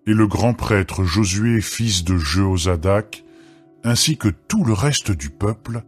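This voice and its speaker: A man giving a solemn, deliberate, dramatised reading of scripture as narrator. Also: low voice. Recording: music behind the voice. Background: background music.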